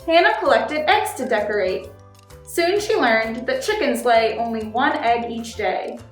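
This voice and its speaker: A woman's voice: on the high side, lively intonation, a little slow. A woman who volunteers as a story time reader, speaking to children as she reads picture books aloud. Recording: roomy echo, distant mic, music behind.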